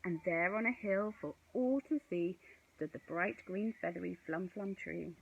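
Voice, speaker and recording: A woman reading a children's picture book aloud in an expressive, sing-song way, with chatty asides to young viewers. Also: lowish voice, moderate pace, gravelly, husky, muffled recording. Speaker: story reader.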